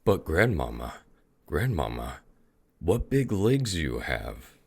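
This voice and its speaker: A man's voice: extremely low pitch, very animated, slow, gravelly, a little mellow, husky, full character voice. A man voicing an innocent, trusting little girl in a fairy tale, with a guileless, polite manner. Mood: trusting wonder.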